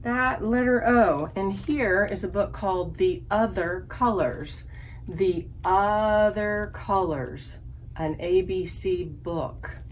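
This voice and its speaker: A woman's voice: mid-range voice, slow, a little hiss or hum, poor audio. A woman leading an online story time for young children as a librarian, giving simple instructions and asking them questions. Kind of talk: children's online lesson with songs.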